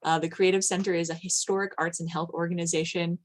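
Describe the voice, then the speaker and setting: A woman speaks as the host of an online arts event. Her voice sits a little lower than average. She addresses her audience in an informal, effusive way, as someone welcoming guests on a video call. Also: flat delivery, fast talker. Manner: effusive, greeting people by name.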